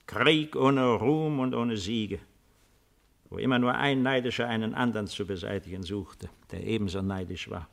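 An actor in a 1950s German radio play playing an old, weary, retired Roman emperor with a deliberate, ironic, theatrical delivery. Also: male voice, on the low side, gravelly. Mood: bitter, reflective. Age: older.

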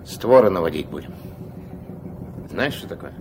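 An actor in a Soviet radio drama playing a gruff, commanding old riverboat captain who gives curt orders in folksy speech. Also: male voice, low voice, expressive, normal speed, a little mellow. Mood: grumbling, instructive. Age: older. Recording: noisy 1970s radio play recording.

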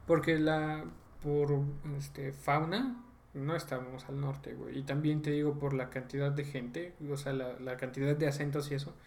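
A man's voice: medium pitch, little pitch movement, moderate pace, creaky, a little mellow. A young man with a Mexican accent chatting casually as a podcast host about video games, using slang and frequent swearing.

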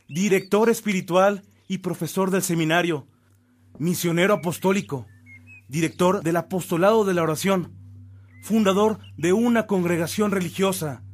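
An actor playing a loyal, supportive friend in a radio drama, speaking in an emphatic, oratorical way. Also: male voice, sits high. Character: Enrique Guillén, loyal, supportive old friend of a priest. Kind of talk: Spanish-language radio drama acting.

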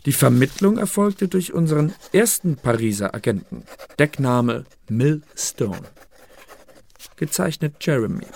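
An actor playing a bureaucratic spymaster who keeps a secret dossier in a radio play, dry and officious. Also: male voice, mid-range voice, expressive, a little slow, gravelly, a little mellow, slight background noise. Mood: cool, official.

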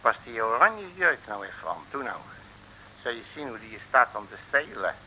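An actor playing a cocky, folksy detective in a comic 1950s radio serial, bossy, wisecracking and colloquial, with hesitant asides. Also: male voice, medium pitch, big pitch swings, moderate pace, bright, forceful tone. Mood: cajoling. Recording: noisy old radio drama recording.

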